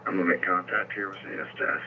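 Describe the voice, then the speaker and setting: A man's voice: sits low, fairly even intonation, fast talker, bright, forceful tone, slight background noise. A man working as a police officer, giving clipped status reports over a two-way radio scanner feed.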